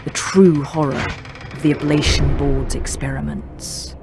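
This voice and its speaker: A man narrating a game's story in a grave, dramatic, scripted storybook style with deliberate phrasing. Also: a little high, flat delivery, close to an everyday voice. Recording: loud music behind the voice.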